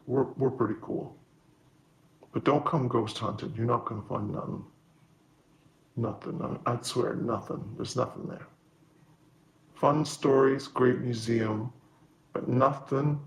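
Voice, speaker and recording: A man, a local resident, talks slowly in a deadpan, teasing way. His voice sits in the middle range. The sound is roomy and echoey. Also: medium pitch.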